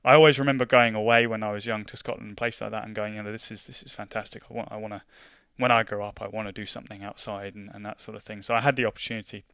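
A man's voice: fairly low pitch, fairly even intonation, very fast, gravelly, hardly any pauses, fairly bright tone, clean tone, dry recording, clean studio sound. A young man from London with a London accent, talking casually in an interview, full of restarts and "you know" fillers. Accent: London English. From London, England. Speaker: conservation project worker.

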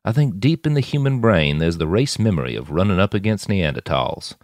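A man's voice: low-pitched, sing-song, a little fast, darkish tone, no echo, studio quality. A man voicing a cocky, commanding post-human mercenary boss in an audiobook, with a folksy, speechifying manner. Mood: musing, superior.

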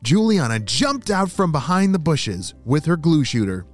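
A man hosting a children's story podcast, narrating in an animated, exclamatory way and speaking straight to young listeners. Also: a little high, normal speed, faint music behind.

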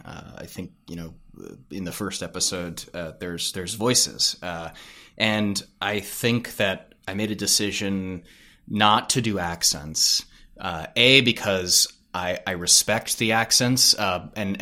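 A man talks as an interview guest on a podcast. His voice is low, and he explains things in a hesitant, reflective manner. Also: bright, forceful tone.

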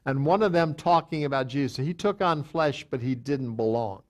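A man's voice: medium pitch, normal speed. A man teaching a Bible study as a pastor, talking with frequent restarts and fillers and reading scripture aloud.

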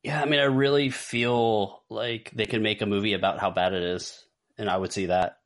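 A man's voice: on the low side, fairly even intonation, normal speed. A man co-hosting a podcast, chatting casually and ranking things with rambling asides. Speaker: podcast co-host. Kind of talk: casual chat, film ranking.

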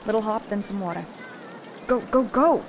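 A woman acts as a rough-tongued female rogue in an audio drama. She is blunt and pressing, with clear noise and a long reverb around her voice. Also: medium pitch, normal speed, natural voice. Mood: urgent, angry. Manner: blunt, urgent, swearing. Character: brusque, foul-mouthed female rogue thief.

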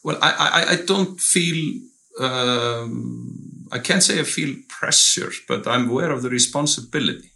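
Middle-aged man from Iceland, gravelly and bright, hesitant interview guest. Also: mid-range voice. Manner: measured, with many hesitations and restarts. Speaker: company owner, guest. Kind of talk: casual interview conversation.

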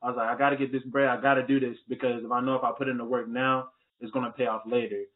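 A man's voice: medium pitch, flat delivery, fast. A young man talking as a podcast guest, laid back and casual with a lot of "like". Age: young adult. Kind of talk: casual interview chat. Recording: tinny phone-like call audio.

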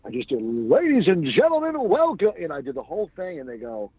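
A man's voice: a little high, sing-song, a little fast, a little mellow, slightly rough, no room sound, rough recording. A man, a stand-up comedian, telling stories as a guest in a casual interview. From New England. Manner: chatty anecdotal storyteller.

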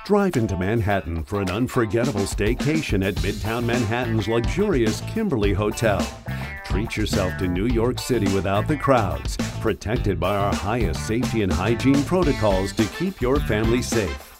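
Low-voiced male ad announcer over guitar. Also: animated, moderate pace. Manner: polished, persuasive read. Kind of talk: scripted hotel advert. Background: guitar music.

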